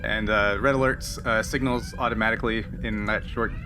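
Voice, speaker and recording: A man running a tabletop game, narrating casually and haltingly as game master. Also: on the low side, fairly even intonation. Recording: clear background noise.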